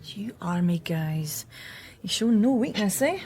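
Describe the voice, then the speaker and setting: An actress playing a sharp, wry younger police detective in a radio drama, probing and dryly teasing. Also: female voice, medium pitch, animated, a little slow, natural voice. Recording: clear background ambience noise.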